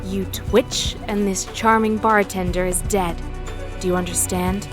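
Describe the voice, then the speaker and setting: A woman playing a cold, menacing female hunter in a noir audio drama, mocking and threatening in a deliberate way. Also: mid-range voice, steady tone, over music, close to an everyday voice. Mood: taunting.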